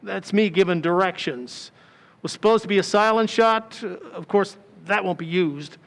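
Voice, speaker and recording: A man's voice: sits high, moderate pace, gravelly, fairly bright tone. A man playing a fussy, deferential film director in radio drama, in a reverberant hall. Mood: deliberate, apologetic. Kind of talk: old-time radio drama acting. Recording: long hall reverb, faint music.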